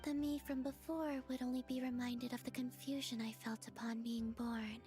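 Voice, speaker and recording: A woman voicing a serene young moon goddess in an anime game, gentle, detached and softly spoken. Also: on the high side, faint music behind, natural voice. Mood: tender. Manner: soft, calm, unhurried.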